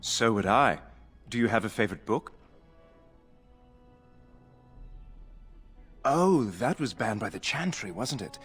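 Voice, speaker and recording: A man's voice: fairly low pitch, animated, normal speed, a bit bright, breathy edge, in a large hall, faint music behind. A man playing an earnest, courteous young squire who dreams of heroism, in a video game. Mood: courteous, bashful.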